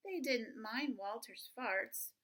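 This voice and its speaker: A woman reading a children's book aloud expressively, with drawn-out emphasis and chatty asides. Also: fairly high pitch, a bit bright. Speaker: reader of a children's picture book.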